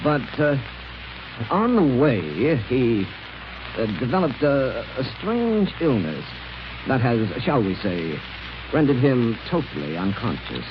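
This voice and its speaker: Scheming space villain, crackly old radio. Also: male voice, mid-range voice, very animated. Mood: evasive. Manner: commanding, measured, smoothly deceptive. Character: scheming male space villain impersonating a heroic captain. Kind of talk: 1930s radio serial acting. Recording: noisy, dull 1930s radio recording.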